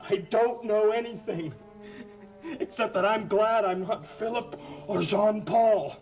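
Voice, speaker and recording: An actor playing a guilt-ridden, gentle man of a cursed family in a gothic soap opera, stammering through his confessions. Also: sits high, takes it slowly, faint music behind. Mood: guilty, regretful.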